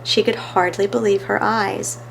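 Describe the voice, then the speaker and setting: A woman's voice: medium pitch, fairly even intonation, a little slow, vocal fry, a little hiss or hum, rough recording. A woman reading scripted narration of a children's story as a storyteller.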